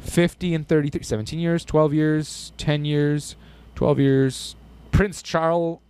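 A man's voice: a little high, flat delivery, measured pace, darkish tone, smooth. A young man hosting a casual podcast chat, swearing freely and reading out lists of names and numbers. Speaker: podcast host.

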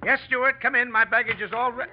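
An actor playing an anxious fugitive who poses as a recovered patient in a 1940s radio drama, stammering and protesting. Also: male voice, extremely high pitch, fairly even intonation, fast, background noise. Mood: nervous politeness. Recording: dull old radio recording.

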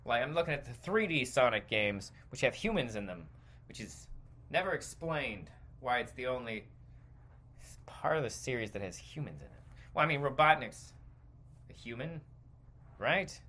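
Male podcast host, forceful bright tone, very lively pitch swings, digressive and opinionated. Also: normal speed, pauses often. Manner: rambling, digressive, emphatic. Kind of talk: opinionated stream-of-consciousness commentary.

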